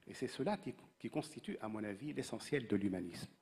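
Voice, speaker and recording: A man speaking on a discussion panel, measured and academic, with hesitant pauses. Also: lowish voice, expressive, a little mellow, big-room sound.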